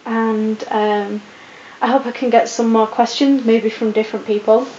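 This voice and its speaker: A sleep-deprived young woman with a put-on posh British accent, chatting casually and rambling through viewer questions with a croaky voice. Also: fairly high pitch, fairly even intonation, normal speed, warm tone. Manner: rambling, chatty, with many ums and restarts. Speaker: vlogger answering viewer questions. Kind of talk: casual Q&A chat. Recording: echoey room, dull lo-fi audio with faint hiss.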